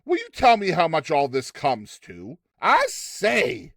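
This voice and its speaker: A man's voice: a little high, wildly swooping pitch, slow, no echo, clean studio sound, pitched above the performer's own voice, exaggerated voice. A man voicing a polite, wary, insistent father in a dramatized storybook reading. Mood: polite insistence. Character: uneasy, polite father wanting to leave strange shop.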